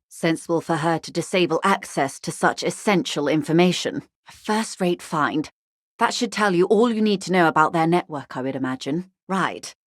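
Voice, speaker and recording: An actress playing a wealthy corporate negotiator in a video game, refined and polished, speaking with urbane, wryly courteous, measured irony. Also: female voice, on the low side, fairly bright tone, no room sound, studio quality. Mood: grave, businesslike. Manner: urbane, measured, dryly ironic. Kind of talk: scripted game dialogue, conversational.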